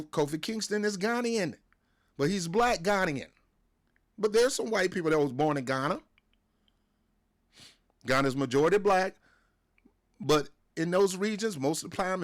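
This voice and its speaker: An American man hosts his own wrestling show in a loose, opinionated rant. He repeats his points for emphasis and stumbles into restarts as he pushes on, sounding like a younger adult talking off the cuff. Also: high voice. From United States. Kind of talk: casual opinion rant to camera.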